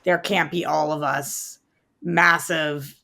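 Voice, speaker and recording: A woman co-hosting a podcast, chatting informally about movies and pausing as she searches for the right word. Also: low-pitched, fairly even intonation, vocal fry, bright, forceful tone. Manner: informal, halting, searches for words. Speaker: podcast co-host reviewing movie trailers. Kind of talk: casual movie chat.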